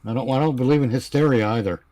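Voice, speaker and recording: A man's voice: mid-range voice, quick pace, dark tone, no room sound. A man bantering casually as a panelist, with a dry, wisecracking manner. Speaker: panel member.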